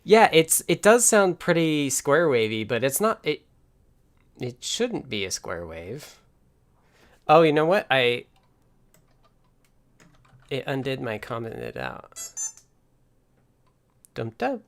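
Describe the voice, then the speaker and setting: A man casually talking through live coding and electronics as a stream host, halting as he thinks aloud. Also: medium pitch, very animated, vocal fry. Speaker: livestream host and software developer.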